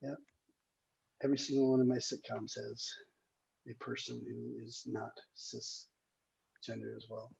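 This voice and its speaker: A screenwriter hosting casually, talking in a hesitant, rambling way with a husky, gravelly voice over a dull call recording. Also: medium pitch, level tone, unhurried, long pauses, warm tone. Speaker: screenwriter and table read host. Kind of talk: casual chat.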